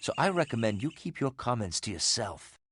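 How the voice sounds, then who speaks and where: A man's voice: mid-range voice, big pitch swings, fairly quick, a bit bright, slight background noise. An actor playing a hot-headed, devout young man in a video game, speaking with earnest, dramatic indignation. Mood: indignant. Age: young adult.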